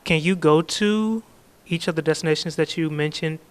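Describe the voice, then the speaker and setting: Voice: mid-range voice, moderate pace. An audience member politely asking a question at a library talk, hesitant with "um". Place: library event room.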